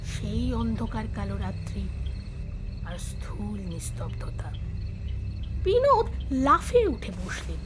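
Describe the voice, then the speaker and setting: A woman narrating a scripted horror story in an expressive, suspenseful manner. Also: mid-range voice, background noise. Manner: suspenseful, expressive, deliberate pauses. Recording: music bed and night insect sounds behind.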